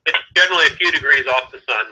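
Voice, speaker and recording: Man, satellite staffer on a call, halting explainer, very bright tone, tinny phone line. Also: on the high side. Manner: halting, many restarts, explanatory. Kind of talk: phone conversation.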